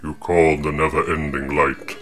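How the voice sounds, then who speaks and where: A man doing a deep, scheming genie. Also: flat delivery, normal speed, a bit bright. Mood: hearty, bemused. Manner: grand, theatrical, old-fashioned speech. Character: boastful scheming male genie, grand and crafty.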